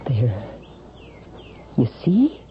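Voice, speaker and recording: An actress playing an attentive, solicitous sanatorium nurse in a 1970s radio drama. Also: female voice, extremely low pitch, big pitch swings, extremely slow, full character voice. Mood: startled urgency. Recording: slightly dull, faintly noisy vintage radio.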